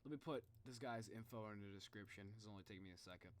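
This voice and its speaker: A man's voice: on the low side, fast, noisy background. A young man giving casual livestream reaction commentary, rambling and thinking aloud as he speculates. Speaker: live streamer reacting to game videos.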